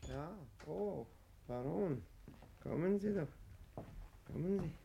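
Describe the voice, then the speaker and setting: An actor playing a weary, wise older exile, measured and gently resigned, in a 1950s German radio play. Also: male voice, on the high side, lively intonation, vocal fry, slight background noise, full character voice. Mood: courteous.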